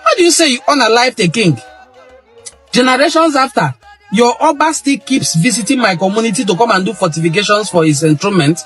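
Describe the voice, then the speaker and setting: A man's voice: sits high, animated, brisk, fairly bright tone, faint music behind. A middle-aged Nigerian man giving an impassioned monologue on history, emphatic and repeating phrases for stress. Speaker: cultural history commentator.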